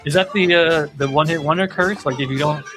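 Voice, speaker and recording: Male podcast host, music clearly behind. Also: fairly high pitch, fast talker. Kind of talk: casual music chat.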